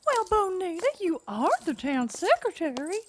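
Squeaky, earnest little monster pastor. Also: female voice, big pitch swings, normal speed, a little hiss or hum. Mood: earnest, scheming. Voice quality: squeaky, cartoonish. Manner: earnest, florid, self-important. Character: fussy, earnest, scheming small-town monster pastor. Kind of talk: audio comedy drama acting.

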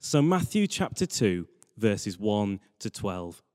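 A man's voice: on the low side, big pitch swings, slow, darkish tone. A young British man giving an earnest, conversational sermon as a church preacher. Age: young adult. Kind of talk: sermon with Bible reading. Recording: long hall reverb.

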